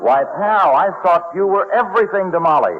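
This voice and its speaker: Jovial salesman pal, highish lively voice, muffled old radio comedy. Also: moderate pace, a faint crowd. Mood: breezy, genial. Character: breezy, genial salesman friend. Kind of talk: 1940s radio comedy acting.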